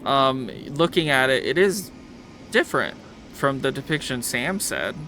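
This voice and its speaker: A man's voice: medium pitch, lively intonation, measured pace, pushed and bright, soft background music, low-quality recording. A man casually narrating a tabletop game as game master, with a joking, self-deprecating manner.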